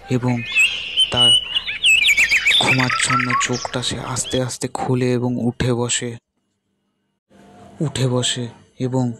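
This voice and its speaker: A man narrating a ghost story in slow, suspenseful, broken phrases, with a husky voice. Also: medium pitch, pushed and bright.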